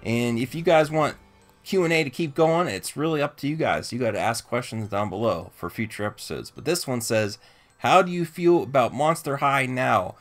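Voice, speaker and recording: A man's voice: mid-range voice, expressive, normal speed, soft background music. A man co-hosting a toy show in casual, informal conversation. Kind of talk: casual toy review chat.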